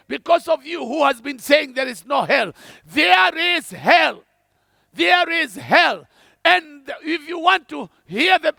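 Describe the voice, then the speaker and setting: A man preaching a fervent evangelistic sermon, shouting and repeating his points. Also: extremely high pitch, creaky, dry recording, studio quality.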